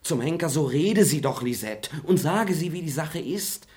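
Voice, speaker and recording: A man's voice: a little high, lively intonation, normal speed, a little mellow, close to an everyday voice. An actor playing a cheeky, quarrelsome male servant who talks in a brash, grumbling way. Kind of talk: radio play acting.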